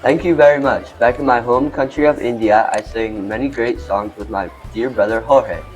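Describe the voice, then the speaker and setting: Radio play role: cheerful, theatrical food truck owner played by a man, earnest and boastful, mid pitch, faint music underneath. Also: moderate pace, a little mellow, close to an everyday voice, rough recording. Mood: cheerful, dramatic. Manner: earnest, theatrical, formal wording. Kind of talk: amateur radio play acting.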